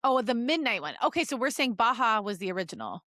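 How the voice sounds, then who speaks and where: A woman's voice: on the high side, big pitch swings, fast talker, fairly bright tone, no room sound, studio quality. A woman co-hosting a podcast in casual comedic banter, teasing playfully and laughing often. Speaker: podcast co-host.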